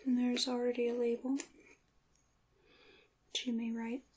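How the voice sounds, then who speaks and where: A woman playing a courteous witch shopkeeper in an ASMR roleplay, whispering softly and breathily with formal, polite, old-fashioned wording. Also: a little high, monotone, unhurried, husky. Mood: gracious, calm. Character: courteous witch shopkeeper selling handmade magical wares.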